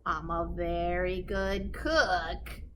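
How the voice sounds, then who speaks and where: A woman's voice: on the low side, steady tone, drawn-out words, a little hiss or hum, voice pushed down, heavily acted. A woman voicing a bossy, hot-tempered, hungry storybook witch with a demanding, screechy delivery. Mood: scheming. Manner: shouty, insulting, demanding.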